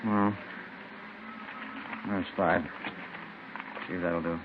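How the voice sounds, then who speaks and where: A man's voice: low-pitched, steady tone, drawn-out words. An actor playing an evasive, shady businessman plotting murder, guarded and noncommittal, in 1950s radio drama. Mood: placating, wary. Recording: muffled, noisy old radio recording.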